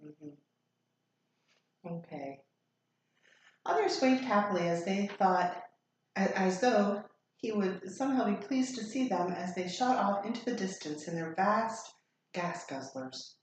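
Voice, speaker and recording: A woman reading a children's story aloud as a teacher would, in an expressive, deliberate storytelling style. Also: medium pitch. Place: echoey room. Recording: very echoey room, faint noise.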